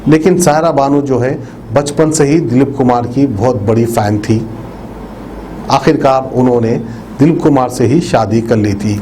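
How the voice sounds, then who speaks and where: Mellow-voiced man presenting a news tribute. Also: medium pitch, moderate pace, slight background noise, poor audio. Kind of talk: narrated obituary tribute report addressed to viewers.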